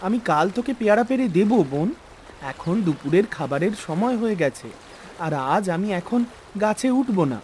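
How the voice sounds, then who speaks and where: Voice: mid-range voice, normal speed, slight background noise, close to an everyday voice. A voice actor playing a young boy in a cartoon, a caring older brother who speaks affectionately with mild scolding. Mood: gently refusing. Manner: affectionate, mildly scolding, expressive. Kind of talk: melodramatic cartoon acting.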